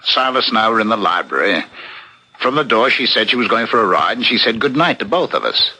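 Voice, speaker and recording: An actor playing a grave, insistent attorney and husband in a 1970s radio drama. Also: male voice, lowish voice, sing-song, fairly quick, pushed and bright, husky, heavily acted, poor audio. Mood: somber. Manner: emphatic, insistent.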